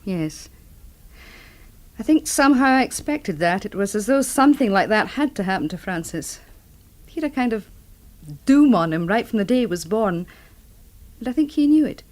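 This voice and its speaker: A woman's voice: medium pitch, lively intonation, moderate pace, long pauses, a little mellow, natural voice. An actress playing a frank, good-natured, motherly middle-aged village widow in a radio drama, homely and forthright. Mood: frank, reflective.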